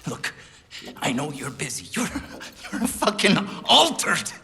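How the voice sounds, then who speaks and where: An actor playing a frantic, foul-mouthed, drugged-up trench commander in a video game cutscene. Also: male voice, fairly high pitch, wildly swooping pitch, measured pace, gravelly, bright, forceful tone, long reverb tail, exaggerated voice. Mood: agitated, pleading. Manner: profane, blustering, jittery, cackling.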